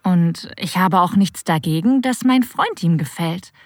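A woman playing a flirtatious, adventurous narrator of an erotic audio drama, with a breathy voice that often drops toward a whisper. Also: fairly low pitch, lively intonation, a little slow, a little mellow, no room sound, studio quality. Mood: intimate, anticipating. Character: flirtatious, adventurous woman telling her own erotic story. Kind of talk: intimate first-person scripted narration.

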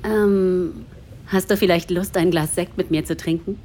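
A woman's voice: on the low side, steady tone, measured pace, mellow, a little hiss or hum, natural voice. An actress playing a self-assured, flirtatious woman writer in an intimate audio drama, with a playful, inviting manner.

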